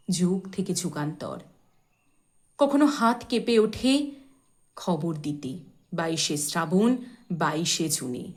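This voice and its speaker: A woman giving a dramatic poetry recitation in a measured, expressive way, with deliberate pauses and phrase endings that fall softly. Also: medium pitch.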